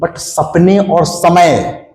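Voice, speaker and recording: A man's voice: on the high side, extremely slow, a little mellow, reverberant. A young man with a sore throat, teaching a physics class in a hot studio, explaining step by step and asking students questions that he answers himself. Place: studio with a board, hot, no air conditioning.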